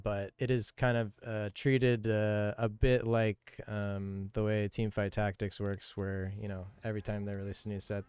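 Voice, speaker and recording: A man co-hosting a casual stream, chatting and explaining his card game's design in a relaxed way. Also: fairly low pitch, smooth, no echo. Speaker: game developer and stream co-host. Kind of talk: casual chat and game commentary.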